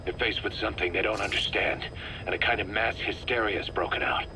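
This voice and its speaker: Bitter army commander in a game, lowish voice, suspicious, grumbly, noisy background. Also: male voice, fairly even intonation, brisk, pushed and bright. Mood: resentful, uneasy. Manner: terse, confrontational, grumbling. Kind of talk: dramatic game voice acting.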